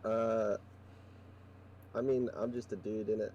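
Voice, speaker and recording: Low-voiced man, posh English accent. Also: flat delivery, unhurried, mellow, dull audio, slight background noise. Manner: rambling, thoughtful, many fillers. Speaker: podcast guest. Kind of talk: casual chat.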